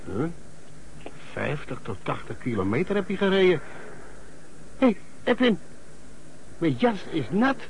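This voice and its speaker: A man's voice: on the high side, huge pitch swings, measured pace, vocal fry, long pauses, a little hiss or hum, exaggerated voice, low-quality recording. An actor playing a baffled, indignant man in a radio play, muttering hesitantly in colloquial speech. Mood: indignant, puzzled. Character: baffled, indignant male car owner, Egon.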